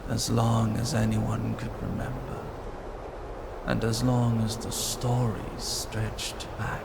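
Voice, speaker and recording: Slow, gravelly male storyteller. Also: mid-range voice, flat delivery, very noisy. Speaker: narrator. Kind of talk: dramatic scripted fantasy narration.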